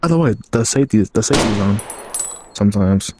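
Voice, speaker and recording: A man voices a chain-smoking villain taking the day off, gruff and unhurried. His voice sits fairly low with a rough, smoke-worn edge. He rambles and swears casually over a light hiss of noise. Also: expressive, close to an everyday voice. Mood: laid-back. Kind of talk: playing a figure in a game roleplay.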